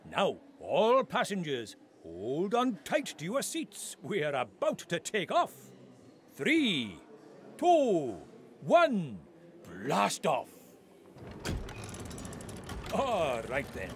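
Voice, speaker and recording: An actor playing an excitable, welcoming rabbit space tour guide in a cartoon game, with theatrical delivery. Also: male voice, a little high, drawn-out words, long pauses, a little hiss or hum, full character voice. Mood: excited, welcoming. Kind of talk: cartoon game character acting.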